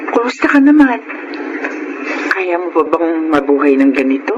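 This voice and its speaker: A woman's voice: low voice, big pitch swings, moderate pace, lo-fi sound. A woman playing a kindly, concerned older neighbour in a Filipino radio drama, caring and questioning, with a Filipino accent. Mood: friendly concern. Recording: very noisy radio drama audio.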